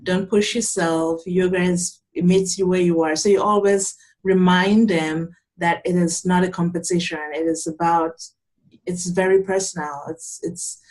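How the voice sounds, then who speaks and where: A young adult woman from Cameroon, a yoga teacher calmly and earnestly explaining her practice in an interview. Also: lowish voice, normal speed, low-quality recording. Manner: calm, earnest, explanatory with frequent restarts. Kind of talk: interview answers, conversational.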